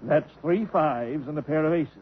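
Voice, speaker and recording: A man's voice: fairly high pitch, moderate pace, background noise, lightly acted. An actor playing a sly, genial older grandfather who schemes to help his granddaughter, in a 1950s radio drama. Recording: lo-fi old radio broadcast recording.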